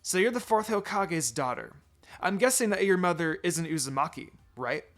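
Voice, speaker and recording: A man's voice: high voice, lively intonation, normal speed, fairly bright tone. A man voicing a commanding, forthright female ninja in a story reading, direct and assertive, with music behind. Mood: appraising.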